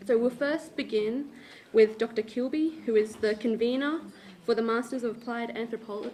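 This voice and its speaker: A woman's voice: on the high side, moderate pace, mellow. A woman moderating a university panel event, welcoming the audience and introducing the speakers in a friendly way, stumbling over a word now and then. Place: university lecture room.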